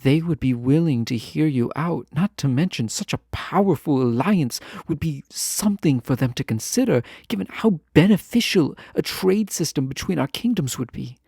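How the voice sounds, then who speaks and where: A man playing a lovesick, proud fantasy ruler in a romantic audio drama, speaking formally, earnestly and with hesitant pauses. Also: fairly high pitch, lively intonation, creaky, warm tone, husky, dry recording, studio quality, heavily acted. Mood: earnest, hopeful. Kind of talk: scripted romantic audio roleplay, close and intimate.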